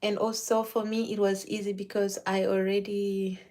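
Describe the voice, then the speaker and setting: Lady chatting w a client while doing her hair, mid pitch, keeps repeating words as she restarts, easygoing. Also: level tone, moderate pace, warm tone. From Zimbabwe. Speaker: hairstylist.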